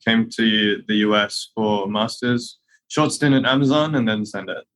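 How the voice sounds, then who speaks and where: An earnest man, a company co-founder, tells stories in an interview answer. He grew up in Malaysia and Australia. His voice sits on the lower side. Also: fairly even intonation, moderate pace, a bit bright, low-quality recording.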